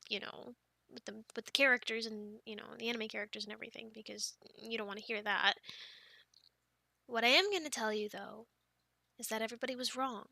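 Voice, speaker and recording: Woman acting a high, bright-voiced playful young friend. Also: no room sound, studio quality. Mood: sheepish, eager. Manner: expressive, teasing, breaking into breathy near-whispers. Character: playful young woman friend, pouty, defensive, telling campfire stories. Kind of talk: ASMR roleplay.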